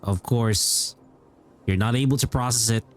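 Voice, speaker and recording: A man's voice: medium pitch, moderate pace, a little hiss or hum. A man giving casual live reactions as a game streamer, cheekily talking back to the characters. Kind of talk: casual live reaction commentary over gameplay.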